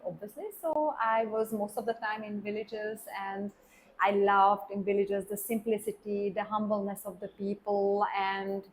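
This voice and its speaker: A middle-aged Hungarian woman talks freely as a podcast guest, her English carrying a Hungarian accent and a few non-native turns of phrase. She laughs easily, and her voice sits at an ordinary pitch. A faint hiss of noise runs behind her. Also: poor audio. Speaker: podcast guest, German teacher and dancer. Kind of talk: casual interview chat.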